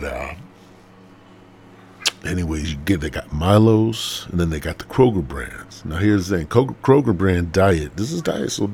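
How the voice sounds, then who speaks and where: A man's voice: low voice, darkish tone, a little hiss or hum. A congested man, hosting a rambling, profane podcast monologue. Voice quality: raspy. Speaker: podcast host.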